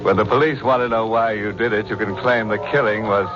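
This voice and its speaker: An actor playing a gleefully macabre, droll host of a 1940s radio horror show. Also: male voice, lowish voice, steady tone, fairly quick, lightly acted. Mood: ghoulishly amused. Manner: droll, theatrical, tongue-in-cheek. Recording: dull old radio recording, music behind.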